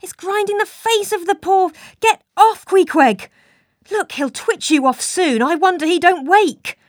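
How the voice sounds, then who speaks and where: A woman's voice: very high voice, a little slow, dry recording, clean studio sound, voice pushed up. A woman voicing an educated male sailor in an audiobook, formal, wordy and easily indignant. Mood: alarmed, exasperated. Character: educated young sailor, male, prim and exasperated.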